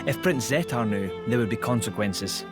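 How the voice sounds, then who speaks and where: A man's voice: on the low side, animated, moderate pace, over music, close to an everyday voice. A man playing an earnest, dignified young emperor in a video game cutscene, speaking formally and politely. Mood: gentle.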